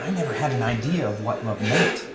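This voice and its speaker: A man's voice: medium pitch, expressive, a little fast, close to an everyday voice. A man playing a sickly, wryly blunt and giggly tipsy man in a dramatized novel reading, with a theatrical delivery. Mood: wistful sorrow. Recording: roomy echo, loud music behind.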